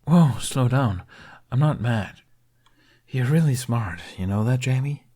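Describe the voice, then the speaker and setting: A man voicing a kind young man who talks gently and reassuringly to a child. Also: mid-range voice, animated, measured pace, pitched above the performer's own voice. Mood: gentle. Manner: warm, reassuring.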